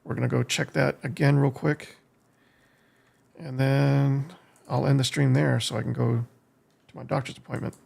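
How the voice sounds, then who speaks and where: An American man streaming a game, giving casual live commentary in a halting way as he thinks aloud, with a gravelly, husky voice. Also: mid-range voice, flat delivery, darkish tone. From United States. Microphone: streaming desk microphone.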